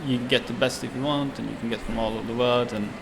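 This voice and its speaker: A young man with a Swedish accent talks casually, pausing often and restarting his sentences. His voice sits at a middling pitch. Steady background noise runs under the recording. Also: vocal fry. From Sweden. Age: 20s. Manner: halting, many fillers and restarts. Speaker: chef and restaurant owner. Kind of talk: casual interview.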